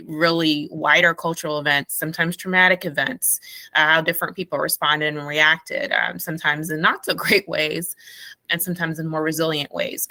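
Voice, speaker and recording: This woman psychologist, originally from Guyana, has a low voice with a bright, forceful edge. She answers at length in a thoughtful, conversational way, and her dry close recording keeps the sound intimate. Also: no room sound. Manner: thoughtful, laughs lightly while telling stories. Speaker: psychologist guest.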